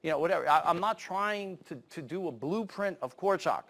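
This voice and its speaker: A man's voice: high-pitched, expressive, vocal fry, a bit bright. Stammering middle-aged man lecturing. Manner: stammering restarts, rapid asides. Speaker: guest lecturer. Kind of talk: lecture wrap-up. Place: university lecture room.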